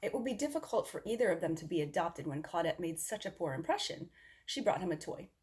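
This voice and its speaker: A woman's voice: lowish voice, very animated, fast, a little mellow. Woman reading a children's story aloud. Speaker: storybook reader. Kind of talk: expressive children's read-aloud.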